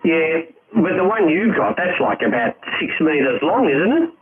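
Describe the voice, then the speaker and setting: A man's voice: a little high, sing-song, a little slow, slight background noise. A man chatting casually over ham radio, musing and thinking aloud as a fellow hobbyist. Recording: tinny band-limited radio link, background chatter.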